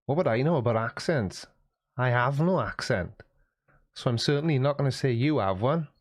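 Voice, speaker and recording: A man voicing a confused, defensive Welsh man with a Welsh accent, talking loudly and indignantly. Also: medium pitch, lively intonation, normal speed, close to an everyday voice.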